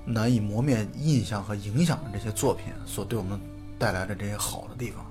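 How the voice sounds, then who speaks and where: A middle-aged man co-hosting a podcast, chatting casually and off the cuff in an animated, opinionated way. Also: fairly low pitch, a little fast, over music. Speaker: podcast host. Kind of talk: casual unscripted podcast chat.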